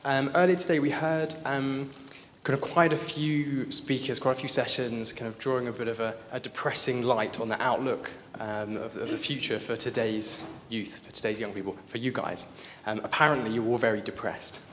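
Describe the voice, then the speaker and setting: A man's voice: mid-range voice, fairly even intonation, normal speed, fairly bright tone. A man in the audience asking a panel a question, hesitant with many ums and restarts. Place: conference room. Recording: hall reverb with faint noise.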